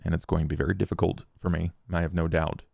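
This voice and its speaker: Casting: grave vampire schemer played by a man, low gravelly voice, measured and formal, for tabletop roleplay. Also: level tone, a little mellow, no echo, studio quality, heavily acted. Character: grave, courtly male vampire, dryly self-deprecating schemer.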